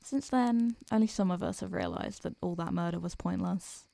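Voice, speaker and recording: A woman playing an ancient, doting succubus in an intimate roleplay audio, speaking softly and gently in an affectionate, unhurried way. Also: lowish voice, huge pitch swings, vocal fry, dry recording, exaggerated voice. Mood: solemn storytelling.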